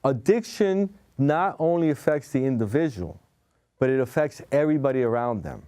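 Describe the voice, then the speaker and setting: A man hosting a talk show and working as a hypnotherapist, asking questions in a relaxed way with a few fillers. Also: medium pitch, smooth. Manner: slow dramatic delivery in the intro, then relaxed questioning. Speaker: host, hypnotherapist.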